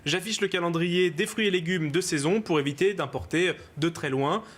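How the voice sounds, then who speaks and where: A man, a talk show guest, explaining how something works and listing examples briskly. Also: sits high, a bit bright. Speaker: app creator, guest.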